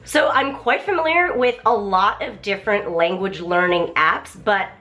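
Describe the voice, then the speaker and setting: A woman's voice: medium pitch, normal speed, bright, forceful tone, soft background music. A young woman vlogger, bubbly and enthusiastic, chatting casually through an app walkthrough. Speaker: vlogger reviewing a language app.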